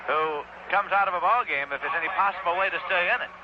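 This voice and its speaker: A man with an American accent calling baseball play-by-play in a measured, explanatory way on an old 1960s radio broadcast. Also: high voice, highs cut off. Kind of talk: live baseball play-by-play commentary. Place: outdoor ballpark broadcast. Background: loud noise, stadium ambience.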